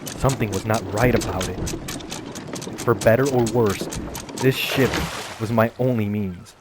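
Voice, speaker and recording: Low-voiced man narrating an adventure. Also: a little slow, heavy background noise. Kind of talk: scripted first-person story narration over gameplay. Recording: faint music behind voice.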